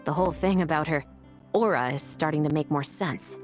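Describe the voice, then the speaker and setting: A woman's voice: deep voice, a little fast, close to an everyday voice. An actress playing a helpful, easygoing woman musketeer. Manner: relaxed, obliging. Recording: game audio with music behind.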